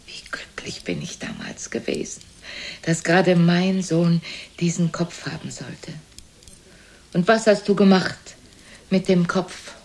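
Low-voiced, scolding, sarcastic old mother. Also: a little mellow. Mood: wistful reproach. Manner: scolding, sarcastic, theatrically indignant. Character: reproachful, sharp-tongued elderly mother of Casanova. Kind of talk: German radio play acting.

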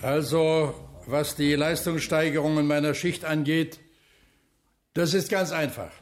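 Male actor as factory foreman, radio drama, plain-spoken, terse, matter-of-fact, moderately high voice. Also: steady tone, a little slow, natural voice.

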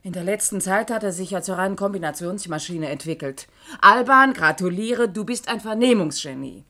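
A woman's voice: mid-range voice, lively intonation, moderate pace. An actress playing an outspoken, sarcastic senior prosecutor in a German radio play, blunt and familiar with her colleagues. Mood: sarcastic, indignant. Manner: blunt, sharp-tongued, familiar.

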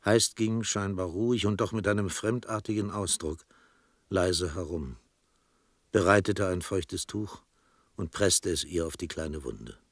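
A very low male voice, recorded dry and close to echo-free. He narrates a literary drama from a script, with a grave, measured delivery.

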